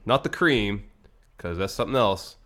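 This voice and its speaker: A man's voice: fairly low pitch, lively intonation, normal speed, a bit bright. A man co-hosting a casual livestream, slightly congested with a lingering cough, reading viewer chat in an easygoing way. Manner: easygoing, reads chat aloud. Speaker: podcast co-host.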